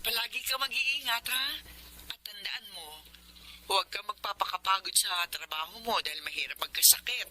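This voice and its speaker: A woman's voice: mid-range voice, moderate pace, bright, forceful tone. A woman playing a caring, devout orphanage nun in a Tagalog radio drama, with a Filipino accent and a consoling, motherly manner. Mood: fond, concerned.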